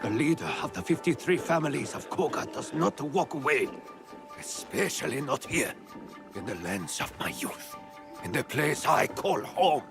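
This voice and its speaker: Old warrior leader: defiant, gravelly, slow and expressive, somewhat raised pitch. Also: male voice. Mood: proud, resolute. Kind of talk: video game cutscene acting. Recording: music under the voice.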